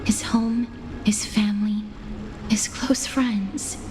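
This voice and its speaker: A woman playing a gentle, solemn young attendant in a fantasy game, speaking with formal, measured gravity. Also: medium pitch, fairly even intonation, lightly acted. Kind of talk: dramatic fantasy game narration. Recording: long hall reverb. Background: loud music.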